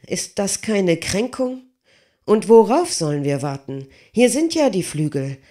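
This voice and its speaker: A reader voicing a clumsy, childlike giant blacksmith who pleads loudly and desperately with his master. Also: mid-range voice, very animated, unhurried, pitched above the performer's own voice. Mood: lamenting. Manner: raised, loud, desperate pleading.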